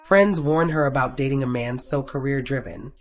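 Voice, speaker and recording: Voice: mid-range voice, moderate pace. A narrator reading a scripted romantic story, telling it in the third person.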